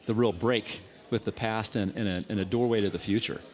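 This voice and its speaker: A man from Texas, a guest on a radio show, explaining his points in a measured, articulate way. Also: lowish voice, steady tone. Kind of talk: interview answers.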